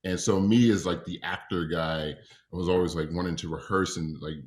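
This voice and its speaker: Deep-voiced man telling a halting anecdote. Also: steady tone, darkish tone. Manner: halting, peppered with "like". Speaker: actor, cast member. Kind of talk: casual anecdote. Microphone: video call.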